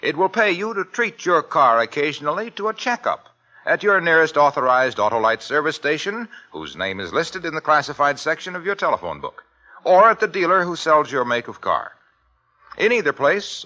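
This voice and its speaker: Male pitchman, emphatic, lively intonation, sharp voice, scripted 1950s radio commercial. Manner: emphatic, salesmanlike. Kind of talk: scripted 1940s-50s radio ad. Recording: dull vintage radio recording.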